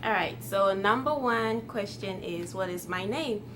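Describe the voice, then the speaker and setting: A woman's voice: mid-range voice, measured pace, background noise. A woman in her twenties from Ghana, whose first language is Twi, chatting to her viewers in a friendly, casual way. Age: 20s. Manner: friendly, chatty, light laughs. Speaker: YouTuber. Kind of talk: casual talk to camera.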